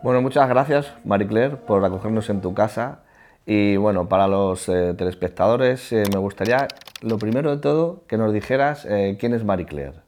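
A man with a fairly low voice asks conversational interview questions, courteous and a little halting. There is faint background noise with some clicking. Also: lively intonation, a little mellow.

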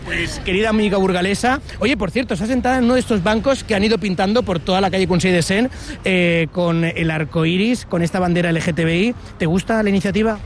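A man's voice: high voice, fast talker, a little hiss or hum. A man, interviewing people on a busy street as a chatty, joking radio reporter. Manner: chatty, joking, many fillers. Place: outdoors on a busy city street.

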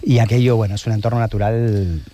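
Man, middle-aged, Argentine accent, dark relaxed timbre, lowish pitch, reflective interview guest. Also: fast. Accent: Argentine Spanish. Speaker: interview guest, long-distance open-water swimmer. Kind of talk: conversational interview answers.

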